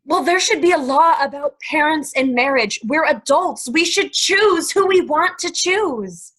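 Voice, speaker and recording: A woman's voice: high-pitched, lively intonation, a little slow, a bit bright. A woman acting a playful, impatient young mistress of the 1950s at a stage play table reading. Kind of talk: stage play table read, romantic dialogue.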